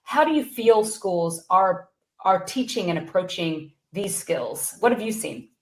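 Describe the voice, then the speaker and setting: A woman's voice: medium pitch, lively intonation, unhurried. A woman hosting a live interview, asking her guest questions with curiosity. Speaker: interviewer, host. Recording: roomy echo.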